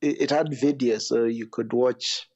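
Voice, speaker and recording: Halting man on a dull mic. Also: mid-range voice, steady tone, darkish tone, slightly rough. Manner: rambling, halting storytelling. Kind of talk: casual podcast chat.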